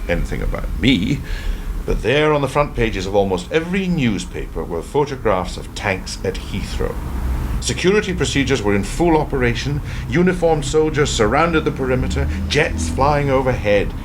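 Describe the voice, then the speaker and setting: Actor as sardonic, low-voiced old ex-prime minister. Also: male voice, natural voice. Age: older. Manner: wry, theatrical storytelling. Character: weary, sardonic ageing former male prime minister reminiscing. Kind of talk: radio play acting. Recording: noisy amateur radio play recording.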